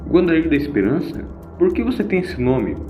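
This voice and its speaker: Man putting on an anxious, high-pitched young granddaughter. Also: big pitch swings, brisk. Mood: puzzled. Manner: anxious, questioning. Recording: boxy, echoey lo-fi with music.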